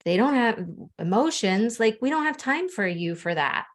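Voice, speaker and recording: A woman's voice: fairly high pitch, big pitch swings, moderate pace. A young adult woman from the Bahamas, a spiritual coach, speaking openly and earnestly in an interview, with frequent "like" fillers. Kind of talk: candid interview conversation.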